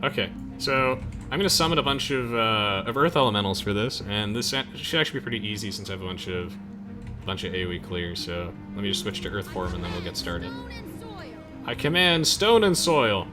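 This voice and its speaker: A young man with a lowish voice hosts a let's play. He talks casually and informally, explaining the game as he goes. Game music plays clearly behind his voice. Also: quick pace. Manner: chatty, explanatory, informal slang. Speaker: gamer hosting a let's play. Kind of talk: casual gameplay commentary. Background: video game music.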